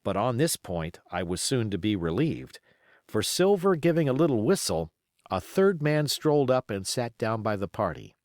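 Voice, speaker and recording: A man reading a story aloud as a measured audiobook narrator. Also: medium pitch, sing-song, darkish tone, dry recording, studio quality.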